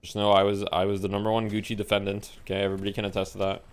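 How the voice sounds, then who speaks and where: A young man streaming live, chatting casually with his viewers and coaching a team over voice chat, in a relaxed, talkative way. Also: fairly low pitch, racing, clear, resonant tone. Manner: relaxed, talkative, laughs between lines. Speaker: streamer coaching tournament teams.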